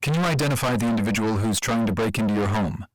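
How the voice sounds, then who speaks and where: A man playing a composed 911 dispatcher who asks measured, procedural questions in a scripted emergency call drama. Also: fairly low pitch, no echo, lightly acted, low-quality recording. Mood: calm, procedural.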